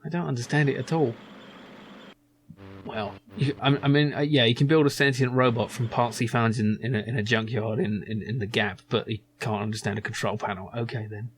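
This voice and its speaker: A man's voice: mid-range voice, fairly even intonation, a little fast, slight background noise. A man giving casual, rambling let's play commentary as a gaming channel host, musing hesitantly as he goes.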